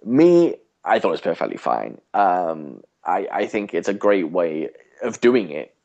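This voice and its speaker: A man's voice: sits low, very animated, measured pace, vocal fry, a little mellow, dull audio. A man co-hosting a podcast, chatting casually about television and often rephrasing as he goes. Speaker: podcast co-host. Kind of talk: casual TV discussion chat.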